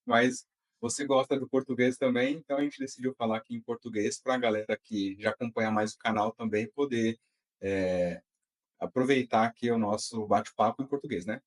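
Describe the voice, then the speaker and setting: A man hosting an interview podcast and chatting casually with his guest, relaxed with many fillers. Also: mid-range voice, brisk. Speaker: podcast host.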